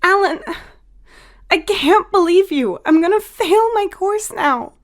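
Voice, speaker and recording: Casting: bossy teen sister for audio story, high, dramatic, snappy played by a female narrator. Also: lively intonation, takes it slowly, full character voice. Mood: exasperated. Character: Angela, exasperated bossy teenage sister, hot-tempered and sarcastic.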